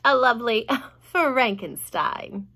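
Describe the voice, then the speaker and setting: Woman doing vain, spiteful aunt, high and gloating. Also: expressive, vocal fry, heavily acted. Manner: slow, loud, gloating, cruel. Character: vain, mean, boastful aunt, grown woman. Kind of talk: storybook reading.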